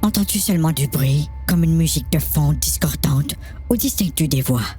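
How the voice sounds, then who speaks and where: A woman's voice: low-pitched, expressive, normal speed, dark tone, soft background music. A woman with a Quebec French accent playing a probing, composed hospital specialist in an amateur audio story.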